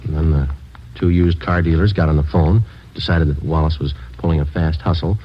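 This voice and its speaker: A dull, hissy old radio recording carries a low-voiced male police sergeant. He speaks in terse, deadpan, procedural phrases, as in a 1950s crime drama. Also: a little fast. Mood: dry, businesslike. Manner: clipped, deadpan, procedural delivery. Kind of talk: 1950s radio crime drama acting and first-person narration.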